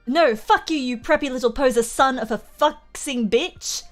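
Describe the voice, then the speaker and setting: A woman's voice: high voice, normal speed, faint music behind, natural voice. A woman voicing a melodramatic, foul-mouthed gothic teenage boy, bitter and overwrought, in a story reading. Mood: disgusted. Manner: overwrought, sullen.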